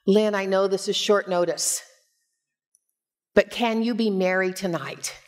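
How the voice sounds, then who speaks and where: An older woman in her sixties delivers a sermon from a church pulpit. She takes her time, speaking slowly at a medium pitch. Her delivery is measured and expressive, like a pastor telling a personal story to make her point. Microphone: headset.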